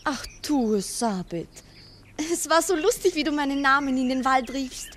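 High-voiced pleading little girl. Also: animated, normal speed, a bit bright. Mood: wistful pleading. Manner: childlike, wistful, coaxing. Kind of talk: 1960s German-language radio drama acting. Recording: old mono radio, birdsong noise.

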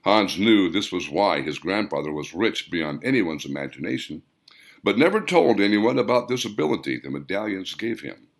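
A man reading an audiobook story aloud in a measured, dramatic storytelling manner. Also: low-pitched, sing-song. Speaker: author reading own audiobook. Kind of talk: scripted audiobook narration.

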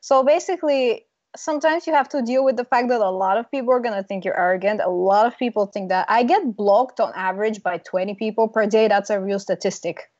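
A woman's voice: mid-range voice, fairly quick, a little mellow, dry recording. A confident young woman from Bulgaria, a branding consultant speaking as a guest in a casual video-call interview, talking emphatically. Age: young adult. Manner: confident, emphatic, laughs now and then. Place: small room indoors. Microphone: earbud mic on video call. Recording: dull lo-fi video call audio.

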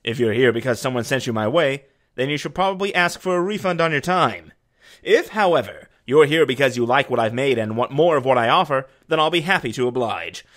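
A man's voice: medium pitch, animated, brisk, a bit bright. A man, an amateur voice actor, delivering casual scripted narration for a voice reel.

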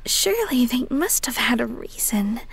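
A woman's voice: on the high side, very animated, moderate pace, gravelly, breathy edge, full character voice. A woman playing a haughty, possessive yandere ex-girlfriend in an audio roleplay, taunting and dismissive. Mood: scornful. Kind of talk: dramatic audio roleplay acting.

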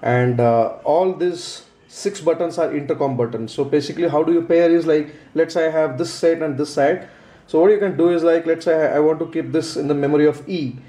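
A man with a slightly high, soft-edged mellow voice walks through a product in a casual how-to style. He explains each step hesitantly, often repeating himself. The recording is roomy and echoey, made indoors at a tabletop. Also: fairly even intonation, fairly quick. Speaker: product reviewer. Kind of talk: casual unboxing and how-to demonstration. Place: indoors, small room at a tabletop. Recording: roomy echo, faint background noise.

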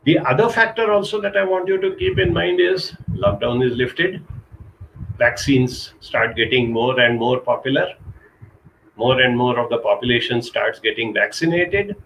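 A middle-aged man from India giving an earnest motivational talk over a webcam from a small curtained room, slowing down to stress key points. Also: mid-range voice, gravelly, a bit bright, slight background noise, rough recording. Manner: earnest, urging, slows down for emphasis. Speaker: counselor giving a live talk. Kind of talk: motivational live-stream talk. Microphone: webcam video call.

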